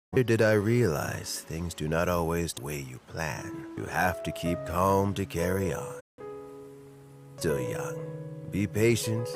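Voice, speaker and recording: An actor playing an elderly, patient uncle in a game, speaking in a measured, philosophical way. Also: male voice, low-pitched, heavily acted. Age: older. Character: elderly uncle, patient, wistful fishing mentor. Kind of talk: game voice acting, reflective monologue. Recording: background music under the voice.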